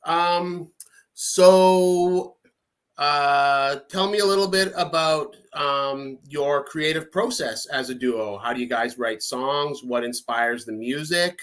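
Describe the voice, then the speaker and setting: A man's voice: a little high, takes it slowly, fairly bright tone. A man hosting a music podcast in a casual, friendly way, rambling a bit with many fillers. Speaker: podcast host. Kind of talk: casual interview chat.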